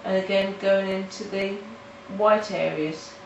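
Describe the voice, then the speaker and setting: A woman's voice: mid-range voice, steady tone, creaky, a little mellow, highs cut off. Woman sounding stuffy and nasal, explaining her coloring steps, bit far from the mic, faint hiss. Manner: halting, with restarts and fillers. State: nasal from hay fever. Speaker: art tutorial host. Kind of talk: casual step-by-step tutorial narration. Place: home in the countryside. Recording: roomy, mic at a distance, faint noise.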